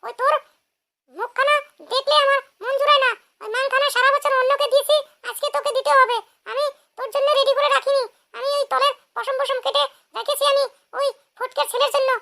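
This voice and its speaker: A performer as a shrill, scolding cartoon wife. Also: fairly even intonation, fairly quick, vocal fry, bright, forceful tone, exaggerated voice. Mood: scolding, taunting. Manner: taunting, brash, scolding. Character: scolding, sharp-tongued village wife in a comic cartoon. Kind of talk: comic cartoon dialogue.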